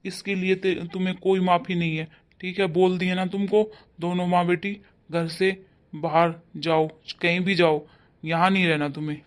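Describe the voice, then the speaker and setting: A man's voice: high-pitched, flat delivery, normal speed, natural voice. A man playing a stern father who scolds his wife and comforts his daughter. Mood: angry. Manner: scolding loudly, then softening to coax. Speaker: actor in a family drama. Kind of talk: amateur family drama acting.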